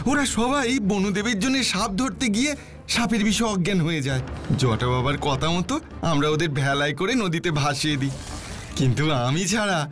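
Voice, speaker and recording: A man's voice: a little high, a bit bright. A man voicing a credulous, devout villager in a cartoon, speaking with fervent exclamation. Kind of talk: cartoon voice acting.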